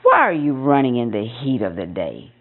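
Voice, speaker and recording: A woman's voice: very deep voice, big pitch swings, normal speed, narrow, tinny audio, voice pushed down, heavily acted. A woman voicing a stern, disbelieving male village chief in a folktale, emphatic and authoritative. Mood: stern disbelief.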